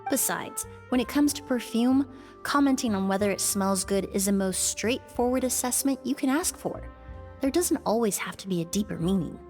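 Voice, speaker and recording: A woman voicing a composed, polite young perfumer in a video game, calm, precise and explanatory. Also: fairly high pitch, normal speed, soft background music. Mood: gentle, modest.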